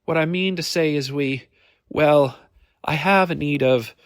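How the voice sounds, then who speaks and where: Man voicing a polite young noblewoman, raised pitch. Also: measured pace, no room sound, clean studio sound. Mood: hesitant. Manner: polite, demure, matter-of-fact. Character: young noblewoman, polite, hesitant yet businesslike.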